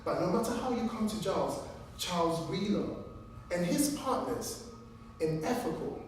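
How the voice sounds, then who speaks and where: A man playing an earnest trial lawyer in a theatrical courtroom monologue, measured and emphatic, with dramatic pauses. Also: sits high, expressive. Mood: earnest, persuasive. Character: earnest, deliberate trial lawyer addressing a jury. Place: large echoing performance room, distant microphone. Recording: echoey hall from far off, faint noise.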